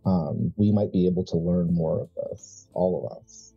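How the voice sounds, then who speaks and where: A man playing a measured, proud, calculating heir to an occult family in a tabletop horror game, speaking deliberately and haltingly. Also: low-pitched, vocal fry, dark tone, husky, heavily acted. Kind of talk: improvised tabletop horror roleplay. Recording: muffled mic with music behind.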